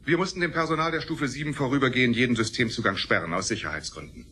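An actor playing a hard, brusque corporate executive in a radio play, curt and commanding. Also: male voice, medium pitch, quick pace, bright, forceful tone, slight background noise, close to an everyday voice. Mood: controlled, businesslike. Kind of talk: German radio play acting.

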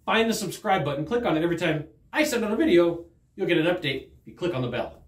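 A man's voice: high voice, quick pace. A middle-aged man teaching sales technique straight to camera, emphatic and gesturing as he talks. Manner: animated, emphatic, gesturing while talking. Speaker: sales trainer. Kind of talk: direct-to-camera sales lesson. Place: studio in front of a green screen. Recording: roomy studio echo.

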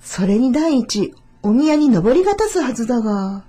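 A woman's voice: on the high side, very animated, moderate pace, dark tone, slightly rough. A woman voicing a lonely, mischievous young male fox in a storybook, musing to himself. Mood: curious, puzzled.

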